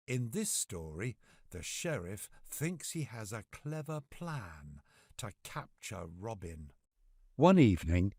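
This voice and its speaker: A man reads a bedtime story for children in a medium-pitched voice. He takes his time and swings his intonation widely to keep the tale expressive. The sound is dry, with very little room to it. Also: no echo.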